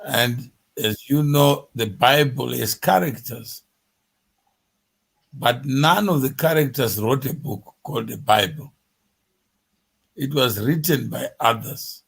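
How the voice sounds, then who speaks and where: A man hosting an interview, asking probing questions in a slow, deliberate way. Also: mid-range voice, creaky, breathy edge. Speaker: interview host.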